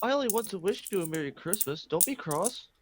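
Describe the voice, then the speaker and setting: Young man playing animated, earnest, cheerful nephew. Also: high-pitched, fairly quick, a little hiss or hum. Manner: earnest, pleading. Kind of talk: amateur radio play acting.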